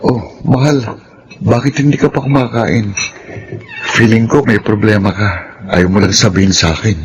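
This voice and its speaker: An actor playing an evasive young boyfriend with a hesitant, soft-spoken manner in a Filipino radio drama. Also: male voice, lowish voice, steady tone, normal speed, loud music behind the voice, close to an everyday voice. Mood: concerned, uneasy.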